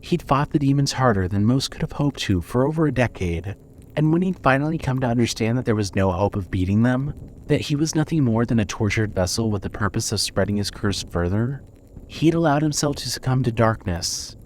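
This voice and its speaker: A man narrates dramatic fiction in a solemn, measured way. His voice sits at an average pitch, with faint noise behind it. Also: expressive, darkish tone. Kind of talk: scripted dramatic story narration.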